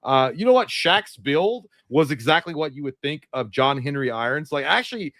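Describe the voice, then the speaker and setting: A man with a naturally deep voice, co-hosting a live movie chat with blunt, profane banter. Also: big pitch swings, moderate pace, a bit bright, no echo. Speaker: podcast co-host.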